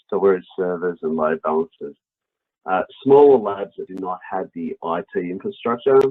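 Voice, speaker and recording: A man's voice: low-pitched, husky. An Australian man with an Australian accent presenting a webinar walkthrough in a conversational, explanatory way. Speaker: company product presenter. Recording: dull, lo-fi webinar audio.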